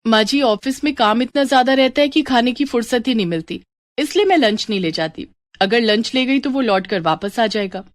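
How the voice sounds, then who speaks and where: A woman's voice: a little high, lively intonation, a little fast, no room sound, voice pushed up, natural voice. A woman voicing a meek young daughter-in-law in a story, deferential and pleading. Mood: evasive.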